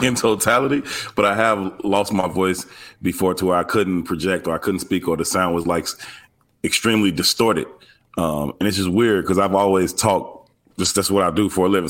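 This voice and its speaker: A man who hosts radio and live events, a guest on a podcast, telling a story in a relaxed, chatty way with little laughs. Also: deep voice. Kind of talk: casual podcast chat.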